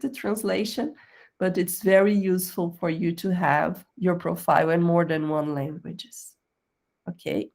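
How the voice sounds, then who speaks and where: Woman career coach, slightly lower voice, patient step-by-step webinar delivery, often checks in with "okay?". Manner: explanatory, checks in with "okay?", non-native phrasing. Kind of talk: screen-share tutorial on a professional profile.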